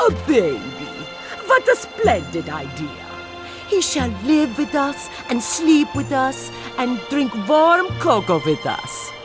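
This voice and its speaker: A kindly, delighted motherly figure speaks in a high, hugely swooping voice. She exclaims like a storybook character, with music underneath. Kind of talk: animated game cutscene acting.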